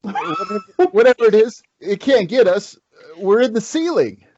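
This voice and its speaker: A man's voice: high-pitched, animated, normal speed, darkish tone, no echo, highs cut off. A man playing a jumpy, flustered soldier in an amateur table read of a horror film script. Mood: alarmed.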